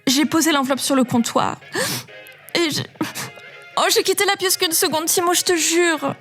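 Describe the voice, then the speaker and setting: Flustered high-voiced young clerk. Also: female voice, fairly bright tone, soft background music. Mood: panicky. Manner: halting, panicky. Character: flustered, grateful young female shop clerk.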